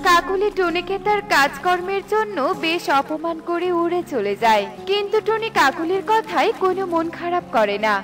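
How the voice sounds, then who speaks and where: A woman's voice: very high-pitched, lively intonation, normal speed, hardly any pauses, over music. A woman reading scripted fairy-tale narration for a children's cartoon.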